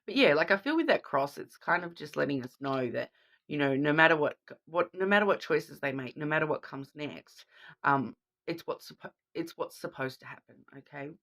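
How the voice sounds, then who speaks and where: A woman's voice: low voice, animated, moderate pace, a bit bright. A woman with a cold, sounding sniffly, giving a chatty card reading to viewers and often checking in with "okay?". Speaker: tarot card reader.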